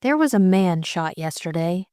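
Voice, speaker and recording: A female narrator acts out a spirited young lady amateur sleuth in a mystery audiobook. She is courteous yet persistent and probing, speaking in a slightly low voice. The recording is close and dry. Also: expressive, moderate pace, darkish tone, no echo, lightly acted. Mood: polite, persistent. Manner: polite, probing, direct.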